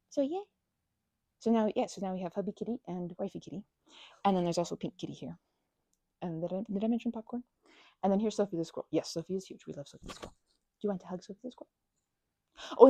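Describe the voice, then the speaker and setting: A woman with a fairly high, very lively voice plays the best friend in an ASMR roleplay. She rambles from one aside to the next with childlike excitement. The sound is dry and intimate, with no room echo. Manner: rambling, scattered, jumping between asides.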